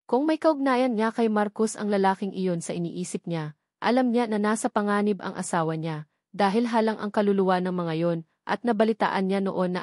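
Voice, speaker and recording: A woman's voice: medium pitch, darkish tone, no room sound. Woman narrating a novel, dry sound. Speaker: audiobook narrator. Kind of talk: scripted dramatic story narration.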